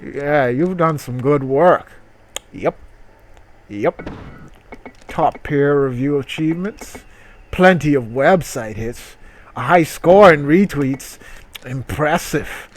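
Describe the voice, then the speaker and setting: A man's voice: a little high, slow, long pauses. A man voicing a pompous, mumbling, dismissive boss in a story reading. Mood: grudging. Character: mumbling, dismissive male boss in a trashy novel.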